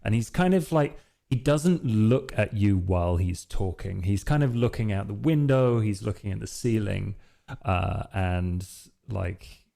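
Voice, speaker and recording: A man's voice: fairly low pitch, unhurried, gravelly, dark tone. A man hesitantly and thoughtfully improvising a description of his character as a player in a tabletop roleplaying game.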